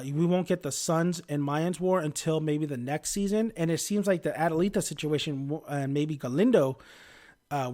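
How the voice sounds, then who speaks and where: A man's voice: on the high side, a little mellow. A man casually reviewing a TV episode, rambling with many fillers, often losing his train of thought, upbeat and chatty.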